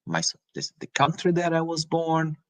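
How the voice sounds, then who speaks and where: Man giving a halting spiritual talk. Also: fairly high pitch, flat delivery, gravelly, fairly bright tone. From Brazil. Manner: halting, many restarts and tag questions. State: coughing now and then.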